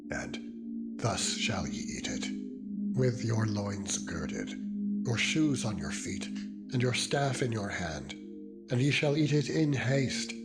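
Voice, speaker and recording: A man narrating scripture in a solemn, measured and deliberate dramatized reading style. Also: on the low side. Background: loud meditative music with ringing tones.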